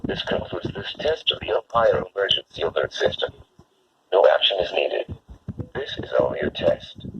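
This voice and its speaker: A man's synthetic text-to-speech voice reading a scripted emergency test announcement in a flat, formal manner. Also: sits low, normal speed, gravelly. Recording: muffled, noisy weather radio broadcast.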